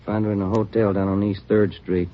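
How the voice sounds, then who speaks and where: A man playing a fast-talking detective partner. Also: lowish voice, flat delivery, natural voice. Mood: brisk. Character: quick, loyal male detective partner. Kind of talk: 1950s radio drama acting. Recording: muffled vintage radio broadcast.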